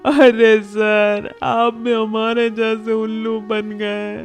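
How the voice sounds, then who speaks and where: Comic cartoon male, acted by a man: extremely high, slow, exaggerated fretting, music underneath. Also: level tone, exaggerated voice. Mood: wailing, dismayed.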